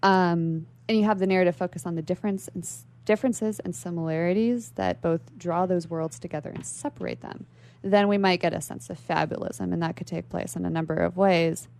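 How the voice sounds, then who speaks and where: A woman with a creaky voice speaking as an interview guest, explaining literary ideas in a thoughtful, conversational way. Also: fairly low pitch, steady tone, fairly quick, darkish tone. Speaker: library worker. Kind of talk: conversational literary discussion on a radio show.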